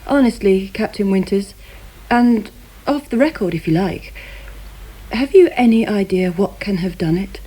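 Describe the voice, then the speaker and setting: An actress playing a brisk, quick-witted journalist and teasing wife, wry and persistent, in a radio drama. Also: female voice, medium pitch, expressive, mellow, low-quality recording. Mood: probing.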